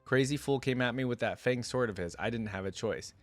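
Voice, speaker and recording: A man's voice: mid-range voice, a little fast, faint music behind, close to an everyday voice. A man voicing a cool, ruthless female pirate bounty hunter, wry and vengeful, in a dramatic story reading. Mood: feigned innocence.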